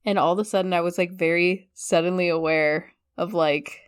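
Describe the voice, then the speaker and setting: A woman's voice: fairly low pitch, steady tone, moderate pace, smooth, no echo, studio quality. A woman co-hosting a podcast in casual chat, telling stories with animation and laughing a lot. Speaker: podcast guest co-host. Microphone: headphones, studio mic.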